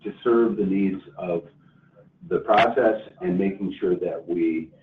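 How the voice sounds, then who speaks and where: A man speaking as a company executive, giving careful, measured and halting corporate answers on an earnings call. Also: deep voice. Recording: roomy, distant phone-like line with faint noise.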